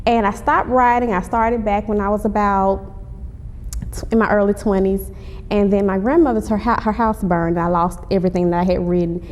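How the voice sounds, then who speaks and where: A woman answers interview questions in a polite, conversational way. Her pitch sits in the middle range. The room rings with long reverb, and there is a clear rumble of noise behind her. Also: fairly even intonation, a little fast, darkish tone. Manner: polite, says ma'am to the interviewer. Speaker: interview guest, playwright and actress promoting her stage play. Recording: echoey hall, clear rumbling background noise.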